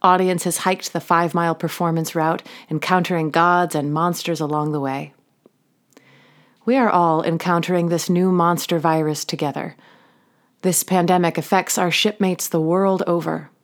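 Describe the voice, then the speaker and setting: A woman hosting an audio drama, reading a scripted outro and credits in a measured, earnest way. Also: fairly low pitch, fairly even intonation. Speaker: host and narrator of an audio drama podcast.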